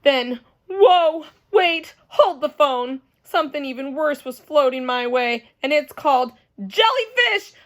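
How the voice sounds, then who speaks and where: Storybook little girl, performed by a woman: very high, dramatic, excitable, chatty and blurting. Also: measured pace, hardly any pauses. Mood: panicked. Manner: breathless repetition, blurting, childish phrasing. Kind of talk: children's storybook character voice.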